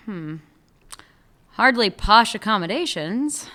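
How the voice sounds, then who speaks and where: A woman's voice: medium pitch, animated, slow pace, sharp tone. A woman acting a dry, sarcastic police chief in a radio play. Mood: guarded. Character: sardonic female police chief.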